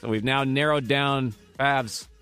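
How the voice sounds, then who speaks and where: A man's voice: mid-range voice, fairly even intonation, unhurried, fairly bright tone, clear, resonant tone, faint music behind. A man hosting a sports radio show with casual banter, joking in a wry, mock-serious way. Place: radio studio.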